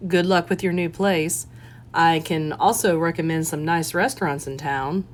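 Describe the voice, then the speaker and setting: A woman voicing a guarded, gruff but courteous male police detective in an audiobook, measured and terse. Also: low voice, steady tone, natural voice. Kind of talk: audiobook character voice.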